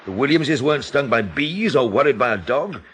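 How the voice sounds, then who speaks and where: An actor playing a sceptical, uneasy gentleman in an audio drama, with a husky voice and a halting, intense delivery. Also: mid-range voice, animated, lightly acted. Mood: incredulous. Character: sceptical, uneasy male gentleman named Lewis.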